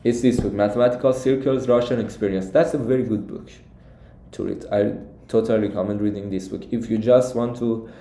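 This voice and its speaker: Young man, Iranian accent, soft dark tone, halting casual live-stream coach, roomy echo. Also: lowish voice, fairly even intonation. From Iran. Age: young adult. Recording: echoey, roomy sound.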